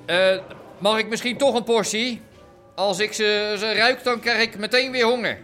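An actor playing a cool gangster in a radio drama, speaking with casual, insinuating menace. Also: male voice, sits high, fairly even intonation, normal speed, bright, forceful tone, faint music behind. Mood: casual, smooth. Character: cool, menacing male gangster, boxing-school owner. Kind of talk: naturalistic radio drama acting.

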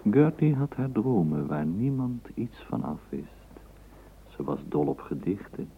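An actor narrating a literary radio play as a measured, lyrical male storyteller. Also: deep voice, vocal fry. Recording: muffled, noisy old radio play recording.

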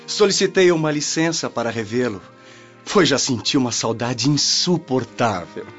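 A male actor plays a devoted young Roman soldier in a radio drama. He has a mid-pitched voice and speaks with earnest, formal affection. The sound is slightly dull, with faint music underneath. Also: lively intonation, moderate pace, a bit bright, lightly acted.

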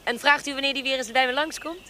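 An actress playing a shrewd, composed baroness in a period audio drama, polite, measured and genteel. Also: female voice, sits high, fairly even intonation, bright, forceful tone, slight background noise. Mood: smoothly persuasive.

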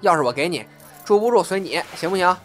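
A man's voice: sits high, lively intonation, quick pace. A man acting a bashful, grumbling young crosstalk apprentice in an audio drama. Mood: persuading. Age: young adult. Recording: background music under the voice.

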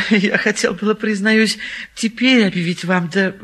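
An actor playing a timid, dithering bachelor suitor, stammering and hesitant, in a theatrical stage comedy. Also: male voice, sits high, fairly even intonation, a little slow, fairly bright tone. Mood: evasive, flustered.

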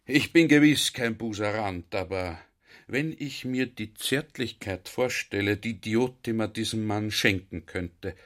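An actor playing a talkative, earnest army general in a radio drama, confiding and baffled by ideas. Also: male voice, lowish voice, unhurried, fairly bright tone. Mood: confiding, sentimental.

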